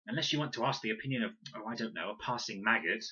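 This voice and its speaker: A man's voice: on the low side, lively intonation, quick pace, bright, forceful tone, husky, dull audio, exaggerated voice. A man voicing a defiant, prickly schoolgirl in a sarcastic, snappy manner for a children's audiobook.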